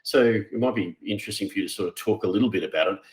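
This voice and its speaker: A man hosting an interview, speaking deliberately with hesitant restarts and repeated words. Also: on the low side. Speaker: interview host. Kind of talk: conversational interview. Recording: roomy echo.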